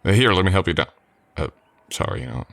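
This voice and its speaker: A man playing a polite drifter cowboy hired on an Old West ranch, talking in an unhurried folksy drawl in an ASMR roleplay. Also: low voice, big pitch swings, gravelly, fairly bright tone, no echo, full character voice. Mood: polite, eager. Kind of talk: ASMR roleplay acting, addressing the listener.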